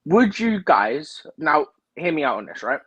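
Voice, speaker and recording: Husky-voiced man talking football. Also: fairly high pitch, unhurried, no room sound, dull audio. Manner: animated, opinionated, rambling with "like" and "right?". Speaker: guest pundit on a fan livestream. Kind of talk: casual football chat. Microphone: remote livestream mic, drifting in and out.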